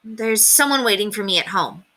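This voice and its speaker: A woman's voice: medium pitch, lively intonation, normal speed, sharp tone. A woman voicing an earnest, polite, tender-hearted young boy hero in a storybook read-aloud. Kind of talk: storybook character reading.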